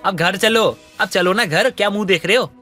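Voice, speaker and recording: A man playing an exasperated young husband who complains and pleads in an animated family story. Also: sits high, moderate pace, soft background music. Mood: angry. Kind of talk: animated family drama dialogue.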